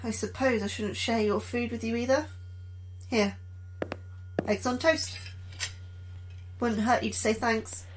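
A woman's voice: a little high, steady tone, a little slow, pauses often, slight background noise, lightly acted, poor audio. An actress playing an anxious, sardonic female astrobiologist in a sci-fi horror audio drama. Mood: wry, wary. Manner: anxious, questioning, sarcastic. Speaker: actor in audio drama, science officer.

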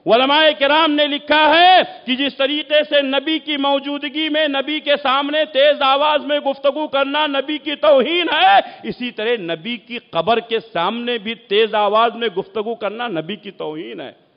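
High-pitched male preacher in echoing hall. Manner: emphatic, exhortatory, with rhetorical questions and repeated phrases. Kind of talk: Urdu Islamic sermon. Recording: muffled, long echoing hall reverb.

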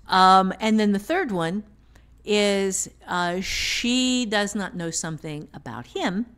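A woman author and teacher explaining things step by step with examples in casual podcast talk. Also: fairly low pitch.

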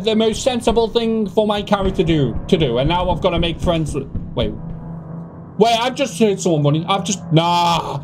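High-pitched young man doing game commentary. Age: young adult. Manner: animated, nervous joking ramble. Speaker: gaming video host. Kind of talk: casual reaction commentary on a horror game. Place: small room with foam panels at home. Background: eerie game music with organ.

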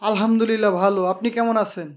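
A man's voice: sits high, level tone, quick pace, natural voice. A man playing an eager, complaining village youngster in a cartoon. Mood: polite. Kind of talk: cartoon dialogue.

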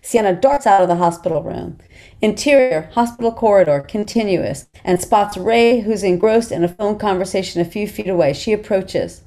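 A woman's voice: medium pitch, moderate pace, warm tone. A woman narrating stage directions at a table read of a film script.

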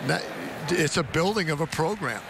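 High-pitched American football analyst, coach-like. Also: male voice, lively intonation, normal speed, gravelly, noisy background. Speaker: color analyst. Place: stadium broadcast booth. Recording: loud stadium crowd and music behind.

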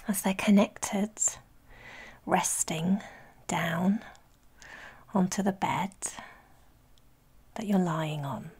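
A woman leading a guided sleep meditation in a breathy, whispery soft voice, soothing and gentle, with long pauses between phrases. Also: mid-range voice. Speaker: guided relaxation instructor.

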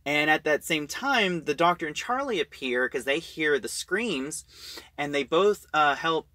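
Bright, lively man recapping a story. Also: on the high side, unhurried, no room sound. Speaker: audio drama reviewer.

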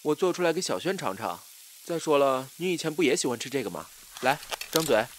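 A man's voice: mid-range voice, big pitch swings, a little fast, noisy background, lightly acted. A man playing a jealous young ex-boyfriend with insincere sweetness and a pointed, theatrical delivery in an audio drama. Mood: sweet. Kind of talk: Chinese audio drama acting.

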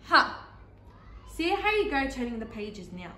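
A woman's voice: on the high side, big pitch swings, a little slow, bright, forceful tone, full character voice. A woman voicing an exasperated, pleading storybook character in a dramatic, emphatic children's read-aloud. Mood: indignant, threatening. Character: pleading, exasperated talking picture-book character, increasingly frantic. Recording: echoey, roomy sound.

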